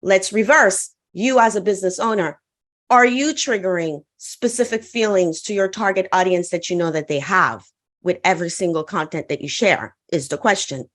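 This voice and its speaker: A woman's voice: mid-range voice, moderate pace, fairly bright tone. A woman from the Caribbean whose first language is French, giving an online conference talk in an upbeat coaching manner and often asking the audience to answer in the chat. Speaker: conference speaker.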